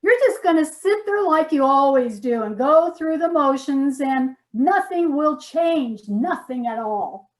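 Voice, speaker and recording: An actress playing a frustrated, nagging wife in a comic stage sketch, reproachful and emphatic. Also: female voice, high voice, lively intonation, unhurried, rough recording. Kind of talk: comic readers theater sketch.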